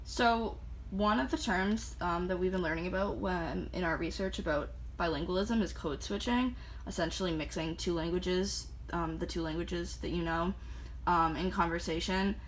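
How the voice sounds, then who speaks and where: A woman interviewing a student, asking questions in a measured way with occasional ums. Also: fairly low pitch, rough recording. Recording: faint background noise.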